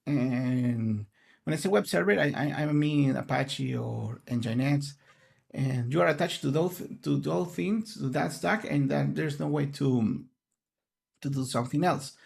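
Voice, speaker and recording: A man's voice: mid-range voice, unhurried, gravelly, a little mellow. A man whose first language is Spanish, giving an online conference presentation in an earnest, explanatory way, often searching for words. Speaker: conference speaker.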